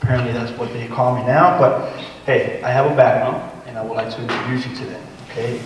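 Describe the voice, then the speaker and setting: A man's voice: medium pitch, steady tone, fast talker. Man speaking in a distant echoey hall. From Puerto Rico. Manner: personal, earnest, walks around while talking. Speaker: guest speaker on workforce development. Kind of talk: informal talk to a training group. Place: large echoey meeting room. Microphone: room mic from a distance. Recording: echoey hall, distant mic, faint noise.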